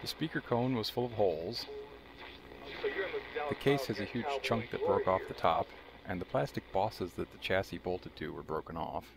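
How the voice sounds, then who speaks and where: A man's voice: low voice, normal speed, vocal fry, over a noisy crowd, poor audio. A man narrating a how-to demonstration in a dry, matter-of-fact, wry way. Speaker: video host showing a restored vintage radio.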